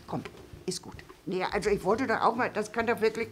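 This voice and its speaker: An older woman, a puppeteer, giving friendly, casual greetings in a soft voice. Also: medium pitch, a little fast, a little hiss or hum. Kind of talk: casual farewell. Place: dark theatre stage.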